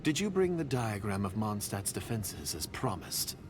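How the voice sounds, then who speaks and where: Low hushed schemer in a game, half whispers, noisy game audio. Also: male voice, big pitch swings, moderate pace. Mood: scheming. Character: secretive scheming foreign agent, adult man. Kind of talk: video game voice acting.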